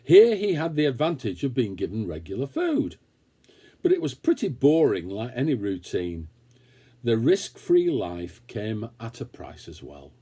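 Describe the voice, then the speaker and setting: A man reading a children's story aloud, expressively and with dramatic pauses. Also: medium pitch, wildly swooping pitch, dark tone, husky. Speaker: story reader. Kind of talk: scripted children's story narration.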